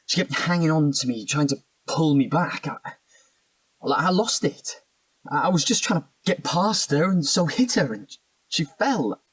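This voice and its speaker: An actor with a British accent playing a volatile, defensive suspect under interrogation, husky and faltering, in an audio drama. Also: male voice, fairly high pitch, animated, unhurried, low-quality recording. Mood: distraught, confessing.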